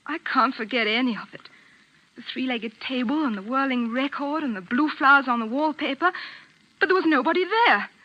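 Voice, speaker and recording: An actress playing a vicar's earnest, anxious young daughter in a radio mystery, with a polite, dramatic manner. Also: female voice, high-pitched, normal speed. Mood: horrified. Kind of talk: 1940s radio mystery acting. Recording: dull, lo-fi vintage radio recording.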